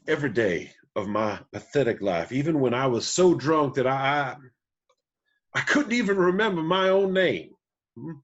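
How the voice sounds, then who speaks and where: A man in a screenplay table read playing a remorseful absent father and former drunk, speaking haltingly and contritely. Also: medium pitch, animated, dull audio, natural voice. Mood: contrite, pleading.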